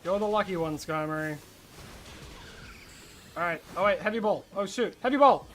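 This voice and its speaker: An Australian streamer chatting casually while gaming, rambling and excitable, breaking into high squeals of surprise. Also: moderate pace, slight background noise.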